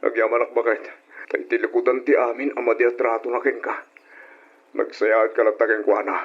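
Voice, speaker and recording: Actor as a husky, earnest young husband. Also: male voice, medium pitch, little pitch movement, normal speed, close to an everyday voice. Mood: tender, grateful. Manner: earnest, gentle. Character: grateful, tender young husband. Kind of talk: old-time radio drama acting. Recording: muffled old radio recording.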